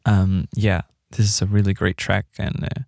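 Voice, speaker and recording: A man presents a music show in a casual, somewhat halting manner. His voice is fairly low with a strong fry to it. The sound is dry and close, with no room around it. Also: level tone, a little mellow, dry recording. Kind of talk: casual track introductions.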